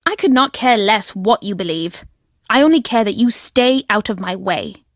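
A woman's voice: mid-range voice, expressive, normal speed, dry recording, studio quality, lightly acted. An actress voicing a secretive woman in a video game who believes fervently in ancient aliens. She speaks in a curt, cryptic manner that turns fervent. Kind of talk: recorded game voice lines.

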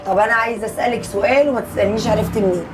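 A woman in her thirties with an Egyptian accent, hosting a conversational interview with expressive, probing questions. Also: a little high, very fast. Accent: Egyptian Arabic. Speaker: TV host. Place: small shoe repair workshop. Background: background music.

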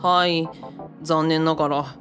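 A woman playing a polite, earnest and easily flustered young man in an audio drama, in an eager, expressive way. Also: sits low, takes it slowly. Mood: polite, explaining. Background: background music.

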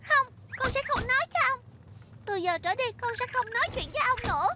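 Squeaky, sulky little girl car. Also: animated, quick pace, noisy background. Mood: angry, sulky. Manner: childish, petulant, whiny. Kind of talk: animated children's cartoon dub.

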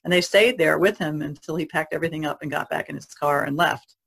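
Low, creaky-voiced woman. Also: fast talker, fairly bright tone. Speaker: painter and podcast panelist. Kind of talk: casual podcast conversation.

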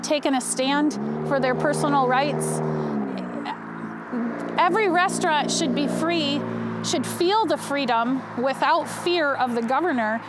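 Female American local interviewee, thirties, very high voice, clear traffic noise outdoors. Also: measured pace. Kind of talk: on-the-street news interview. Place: outdoor parking lot. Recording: outdoor noise with passing vehicles.

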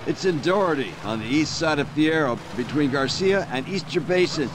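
Man acting spaced-out paranoid hippie over loud engine noise. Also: on the high side, lively intonation, normal speed, lightly acted. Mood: dreamy. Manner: rambling, spaced-out stoner drawl with hippie slang. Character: paranoid, stoned aging hippie man, laid-back conspiracy theorist. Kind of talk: video game voice acting. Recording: loud vehicle noise behind the voice. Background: vehicle engine and road noise.

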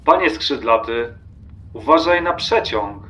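An actor playing a brooding, erratic middle-aged train passenger in a radio play, absent and cryptic in manner. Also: male voice, mid-range voice, animated, a little slow, sharp tone. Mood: ominous warning. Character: brooding, erratic forty-something man, a restless train passenger.

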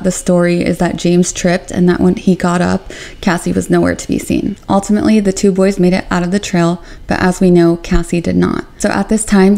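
A young woman hosting a missing-person channel, speaking to camera in an earnest, measured way from a small room at home. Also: lowish voice, gravelly, dark tone. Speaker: YouTube true-crime host. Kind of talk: scripted talk to camera.

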